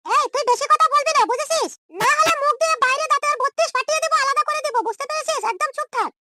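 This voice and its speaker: A man's voice: very high-pitched, fast, bright, forceful tone, dry recording, studio quality, exaggerated voice. A man voicing a shameless, quarrelsome, scheming young woman in an amateur comedy cartoon, ranting and taunting through a chipmunk-like voice effect. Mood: angry. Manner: scolding, ranting in short repeated taunts.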